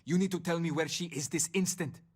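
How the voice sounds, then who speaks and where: A man's voice: a little high, fairly even intonation, brisk, husky. An actor playing a proud, bitter, middle-aged father, haughty and theatrical, in a science fiction video game. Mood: angry, indignant. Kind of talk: video game character dialogue.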